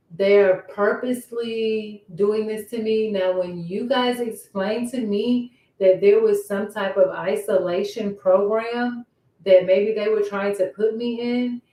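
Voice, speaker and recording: A woman's voice: mid-range voice, dark tone. A woman talking casually in a personal vlog monologue, circling back over her points and posing rhetorical questions. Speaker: vlogger. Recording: roomy echo, distant microphone.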